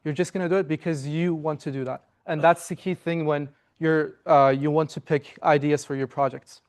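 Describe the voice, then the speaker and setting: A young man from Turkey gives an earnest, informal presentation to a student club, pausing often on "uh". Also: a little high, steady tone. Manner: earnest and explanatory, with frequent "uh" fillers.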